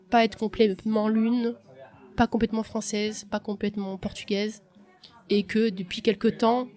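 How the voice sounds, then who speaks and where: A young woman from France giving a reflective, hesitant personal testimony on a podcast, with many pauses and restarts. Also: mid-range voice, a little mellow. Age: young adult. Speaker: podcast guest.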